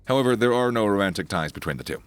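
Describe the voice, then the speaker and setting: A man reading a scripted sports news narration in a steady voice, reading the quotes in the same voice as the rest. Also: lowish voice, very fast, smooth, dry recording. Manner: steady read-aloud delivery, reads quotes in the same voice. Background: faint background music.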